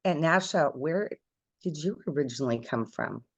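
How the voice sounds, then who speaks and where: A woman from Canada hosts an online information session over a video call. Her voice sits a little low, and her manner is friendly as she moderates. The sound is dry, with almost no room echo. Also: animated, normal speed. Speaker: host.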